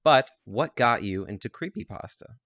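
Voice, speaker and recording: A man's voice: medium pitch, lively intonation, moderate pace, a bit bright, dry recording, studio quality. A man chatting casually and playfully as a co-host, reading questions aloud. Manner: playful, joking.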